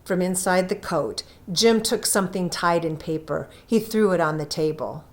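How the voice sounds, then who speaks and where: A woman reading a scripted story aloud with deliberate, dramatic phrasing. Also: lowish voice. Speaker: story reader.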